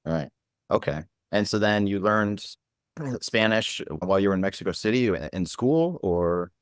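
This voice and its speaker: A man hosting a podcast who asks his questions in a halting way, often restarting mid-sentence. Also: lowish voice, animated, clear, resonant tone, no echo, clean studio sound. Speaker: podcast host. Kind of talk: conversational interview questions.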